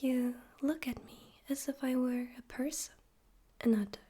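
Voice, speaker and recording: A woman voicing a suave, amused, menacing male demon overlord in a breathy whispered ASMR roleplay, slow and condescending. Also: high voice, steady tone, warm tone. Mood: haughty amusement. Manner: slow, condescending, teasing, deliberate.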